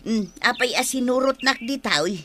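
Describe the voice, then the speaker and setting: A woman playing an animated village livestock raiser in a comedy drama, with an Ilocano accent and exclamatory, drawn-out interjections. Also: a little high, background noise, lightly acted. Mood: cheerful. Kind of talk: comedic barangay radio drama acting.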